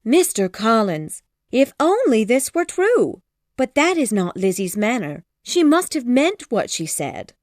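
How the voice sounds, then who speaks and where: High shrill voice, fussing older mother played by a woman, excitable, very lively pitch. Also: a little slow, no echo, studio quality. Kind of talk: storybook character voice.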